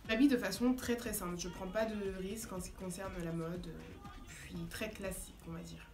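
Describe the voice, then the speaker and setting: A woman in her twenties from France, talking casually and calmly as a vlogger. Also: on the low side, brisk, gravelly, roomy sound, faint music behind. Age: 20s. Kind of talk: casual chat.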